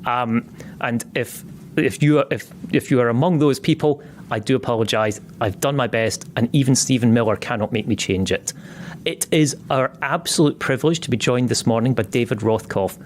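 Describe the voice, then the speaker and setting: A man's voice: medium pitch, normal speed, a little hiss or hum. A man with a Scottish accent hosting a podcast interview, chatty and joking, with some repeated false starts. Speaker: podcast host.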